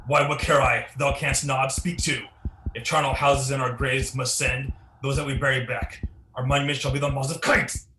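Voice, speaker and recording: A roomy, faintly noisy recording of a guilt-stricken Shakespearean king, played by a young man. His male voice is sharp and forceful, delivering dramatic verse at a medium pitch. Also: level tone, normal speed, natural voice. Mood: terrified, defiant. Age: young adult. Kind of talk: Shakespearean radio play acting.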